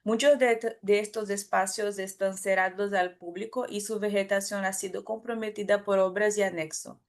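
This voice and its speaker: Woman with a Brazilian Portuguese accent, halting. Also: mid-range voice, steady tone. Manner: careful, halting, with restarts and self-corrections. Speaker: academic presenter. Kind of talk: conference talk.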